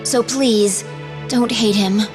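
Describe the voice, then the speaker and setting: A woman's voice: on the high side, takes it slowly, over music. A woman voicing a proud, dutiful young warrior princess in a fantasy video game, with formal, earnest delivery. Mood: earnest, guarded. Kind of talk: dramatic game dub acting.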